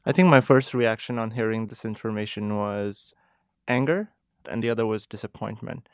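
A young man with a Pakistani accent, answering radio interview questions in a thoughtful, measured and earnest way. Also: fairly low pitch, clear, resonant tone, no room sound, studio quality. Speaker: interview guest, medical resident.

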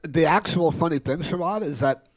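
A man's voice: on the high side, moderate pace. An older man from New York, a guest chatting on a podcast, wry and full of "you know". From New York City. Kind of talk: casual podcast chat.

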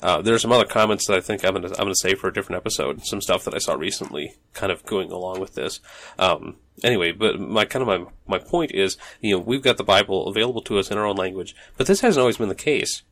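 A man's voice: deep voice, fast talker, a bit bright. A man hosting a solo podcast from his home office, talking in a loose, rambling way with many ums and restarts. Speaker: podcast host. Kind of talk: casual solo podcast monologue.

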